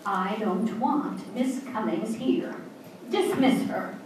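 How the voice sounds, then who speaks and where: A woman's voice: medium pitch, slow pace, darkish tone, close to an everyday voice. A woman playing a jealous, commanding invalid wife in an old-time radio drama, with a stern, accusing, theatrical delivery. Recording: echoey, distant, noisy stage recording.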